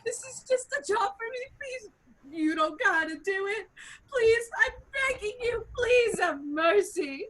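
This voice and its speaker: A woman's voice: very high voice, sing-song, unhurried, hardly any pauses, sharp tone, exaggerated voice, low-quality recording. A woman playing a cowardly family man who pleads melodramatically in a comedy script read. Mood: tearful begging. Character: cowardly whimpering family man begging for mercy.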